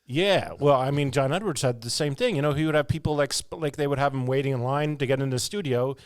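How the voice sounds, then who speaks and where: A man's voice: mid-range voice, fast, clear, resonant tone, no room sound, clean studio sound. A man co-hosting a show, chatting casually with off-the-cuff commentary. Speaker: podcast co-host.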